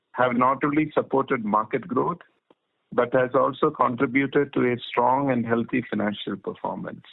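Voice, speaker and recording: A man's voice: medium pitch, gravelly. A man with an Indian accent giving formal prepared business remarks as a managing director, phrase by phrase. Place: conference phone call. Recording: thin, tinny phone line.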